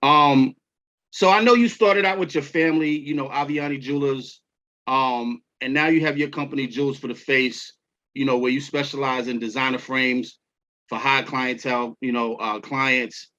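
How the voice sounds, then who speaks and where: A man's voice: mid-range voice, steady tone, sharp tone. A man from Queens with a New York accent, hosting a casual interview and agreeing often. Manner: easygoing, quick agreeing backchannels. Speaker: podcast host. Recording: dull lo-fi sound.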